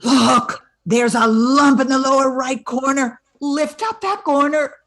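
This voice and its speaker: An older woman playing an earnest, calming investigator in a comic radio play, deliberate and mock-serious. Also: high-pitched. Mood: urgent. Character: earnest, calming investigator solving a trivial household case.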